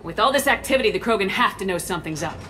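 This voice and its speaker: A woman's voice: medium pitch, expressive, racing, bright, forceful tone, faint music behind. An actress playing a firm, clipped female commander. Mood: commanding. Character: hard-edged female human commander. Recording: game score behind.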